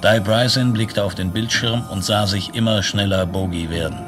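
A man narrating a science fiction radio play as a detached storyteller, in measured, clipped phrases. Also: fairly low pitch, fairly even intonation, natural voice. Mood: detached, intense. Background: music.

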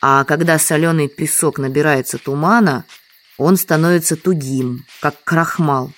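A woman's voice: deep voice, fairly even intonation, moderate pace, lightly acted. An actress playing a humble, trusting village woman in an audio drama, explaining things simply. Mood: matter-of-fact.

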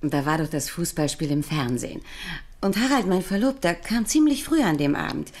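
A woman's voice: fairly low pitch, sing-song, normal speed, darkish tone. An actress playing a friendly, assured young engaged woman giving testimony in a German radio crime drama. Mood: friendly, sure.

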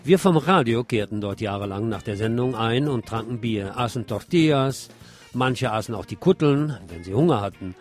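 Guy hosting a radio show, medium pitch, reading his script w some guitar music behind. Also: expressive, normal speed. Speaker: radio host.

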